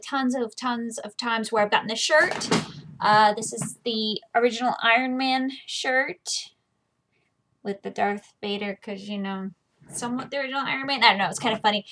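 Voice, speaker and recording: A woman with an American accent and a fairly high voice. She hosts a book reading series and speaks in an informal, conversational way, as if talking straight to her viewers. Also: pushed and bright. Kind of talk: casual chatty vlog, then reading a novel aloud.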